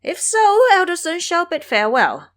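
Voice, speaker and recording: A woman's voice: extremely high pitch, huge pitch swings, normal speed, a bit bright, pitched above the performer's own voice, full character voice. A woman voicing a cheeky, brash male monkey immortal in an audio drama. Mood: brisk.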